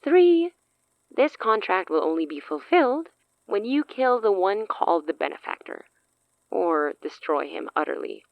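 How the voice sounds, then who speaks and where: An actress playing a cunning, self-assured sorceress in an audio drama, speaking in a theatrical, silky, condescending way. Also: female voice, medium pitch, very animated, a little slow, gravelly, dry recording, highs cut off. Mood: smooth, commanding. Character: cunning, self-assured woman sorceress offering a dangerous pact.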